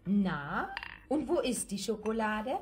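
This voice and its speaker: A woman's voice: medium pitch, big pitch swings, a little hiss or hum. A woman playing a busy, scolding mother in a children's radio play. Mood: brisk. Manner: brisk, scolding.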